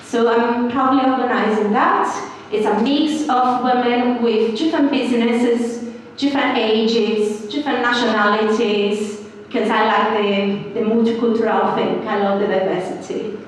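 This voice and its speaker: A woman's voice: on the high side, fairly even intonation, a little slow, slight background noise. A woman in her thirties from Brazil, a native Portuguese speaker with a Brazilian accent, giving a live talk with fillers and frequent little laughs. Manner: hesitant, giggly, many fillers. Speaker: guest speaker, journalist. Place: live event venue indoors. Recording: echoey hall, distant mic.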